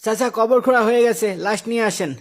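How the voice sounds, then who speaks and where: A man as a very high-voiced, jumpy young gravedigger. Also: fast talker. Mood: reluctant. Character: frightened, grumbling young gravedigger in a village. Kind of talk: Islamic moral cartoon story acting.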